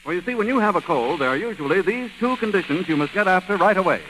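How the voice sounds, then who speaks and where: 30s radio ad guy, polished, high voice, very lively, bit of old recording noise. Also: fast, rough recording. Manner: polished, emphatic salesmanship. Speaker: radio announcer. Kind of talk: scripted 1930s radio advertising.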